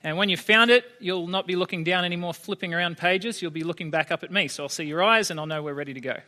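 A man's voice: sits high, quick pace, sharp tone. An Australian man in his thirties giving a conversational sermon, often asking the congregation questions. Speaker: preacher.

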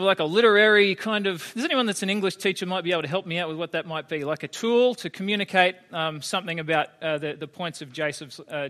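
A man's voice: sits high, pushed and bright. An Australian man in his thirties giving a conversational sermon, often asking the congregation questions. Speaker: preacher.

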